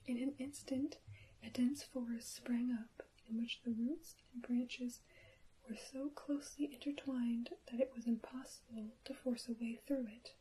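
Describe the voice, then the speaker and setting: A woman reading a bedtime story for ASMR in a soft, breathy, careful whisper. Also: fairly high pitch, fairly even intonation, measured pace, rough recording.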